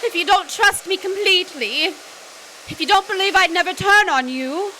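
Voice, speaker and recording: A woman plays an intense, anxious lover in an old-fashioned stage melodrama. Her voice is high and bright, with earnest, halting, dramatic delivery. There is faint noise on the live recording. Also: rough recording. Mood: breathless, desperate.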